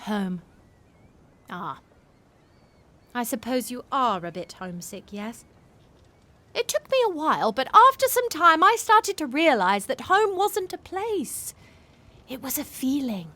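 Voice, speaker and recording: A woman's voice: sits high, sing-song, measured pace, pauses often, pushed and bright, exaggerated voice. A woman acting a free-spirited, dreamy young sailor in a Regency-era audio drama, poetic and full of wonder. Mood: gentle, reflective. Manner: wonder-filled, poetic, effusive. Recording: music bed behind the voice.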